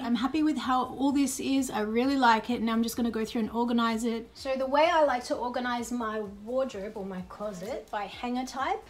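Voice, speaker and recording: An Australian woman in her thirties talking casually and practically as a home lifestyle vlogger in a small bedroom, recorded on a nearby camera. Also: a little high. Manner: upbeat, chatty, practical. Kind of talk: casual chatty vlog talk. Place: small bedroom by a wardrobe, indoors.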